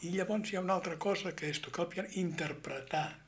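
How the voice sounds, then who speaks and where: A man interviewing a singer, chatty and lively, joking with his guest. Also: sits high, quick pace, a little hiss or hum.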